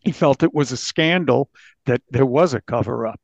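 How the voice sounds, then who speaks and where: A mature American man with a gravelly voice, a reporter recounting a story in a conversational interview. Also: mid-range voice, normal speed, no room sound. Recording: dull, slightly lo-fi, dry.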